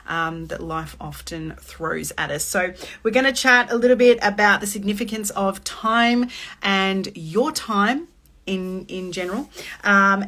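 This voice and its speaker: Bright-voiced woman in her thirties. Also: mid-range voice. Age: 30s. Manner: chatty, expressive, talks with her hands. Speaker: host. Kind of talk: motivational live-stream talk.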